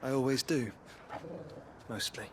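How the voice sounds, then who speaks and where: An actor playing an earnest, gentle young nobleman in a video game, speaking formally and in a scholarly, well-spoken way. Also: medium pitch, steady tone, unhurried, slight background noise, lightly acted. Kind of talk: video game cutscene acting.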